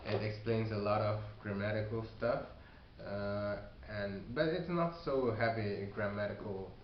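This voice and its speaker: A man who is not a native English speaker, giving casual advice on learning a language, talking haltingly with many fillers and restarts. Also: lowish voice. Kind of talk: casual video response. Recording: echoey room, dull lo-fi sound, faint hiss.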